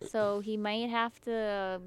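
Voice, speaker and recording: A woman's voice: mid-range voice, level tone, slow pace, clean tone, dry recording. A woman hosting a podcast in casual, opinionated chat about politics. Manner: chatty and opinionated. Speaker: podcast host.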